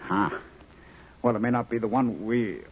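An actor in a 1950s radio drama playing an eager male scientist who leads a saucer project, excitable and commanding. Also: lowish voice, moderate pace, slightly rough. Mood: earnest. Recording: tinny old radio broadcast with hiss.

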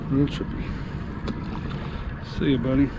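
A man's voice: mid-range voice, level tone, unhurried. A man in his forties hosting a fishing vlog from a small open boat offshore, chatting easygoing to the viewers and to the fish he lets go. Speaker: fishing channel host. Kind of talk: casual fishing vlog narration. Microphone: action camera on the boat. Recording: action camera with wind and water noise. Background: wind and water noise.

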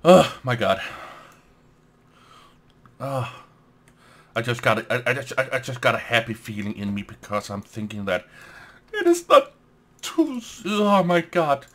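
A young man with a Danish accent, a native Danish speaker, giving casual let's play commentary, excitable, swearing and laughing at the game as he plays. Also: mid-range voice, a little slow, long pauses. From Denmark.

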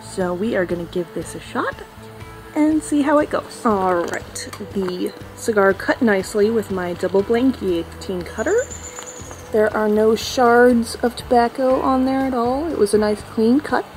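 A young woman chatting casually through a product review, friendly and relaxed with a few ums along the way, filmed on a phone at arm's length indoors. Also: fairly high pitch, unhurried, faint music behind, poor audio. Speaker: cigar reviewer.

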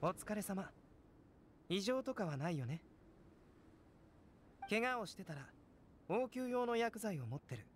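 A man playing a calm, courteous young alchemist in an anime game, speaking in a measured, gentle, analytical way. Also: high-pitched, slight background noise. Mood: calm, explanatory. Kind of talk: scripted anime game dialogue. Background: game music.

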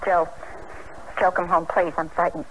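An actress playing a timid, anxious young wife in an old radio drama, faltering and pleading. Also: female voice, on the low side, level tone, moderate pace, close to an everyday voice. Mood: frightened, pleading. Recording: very noisy vintage radio recording.

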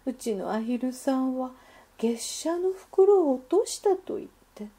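A caring mother duck, voiced by a woman in a storybook tale speaks slowly and soothingly. The voice is mellow and gentle, with lilting pitch. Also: lively intonation, slightly rough, full character voice. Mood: concerned. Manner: soothing, polite, unhurried. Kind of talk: children's storybook reading.

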